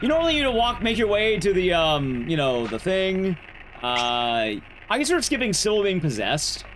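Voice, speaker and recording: A man's voice: sits high, huge pitch swings, moderate pace, a bit bright, slight background noise, rough recording. A man speedrunning a game and giving casual commentary, explaining things in a rambling, playful way. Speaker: speedrunner, streamer. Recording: game music behind the voice.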